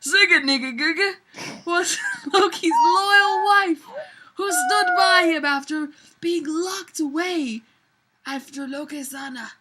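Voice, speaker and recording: High-voiced, giggly, tipsy woman reading. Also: slow, bright, forceful tone, slight background noise. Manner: giggly, theatrical. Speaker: reader. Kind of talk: comic dramatic reading of fan fiction.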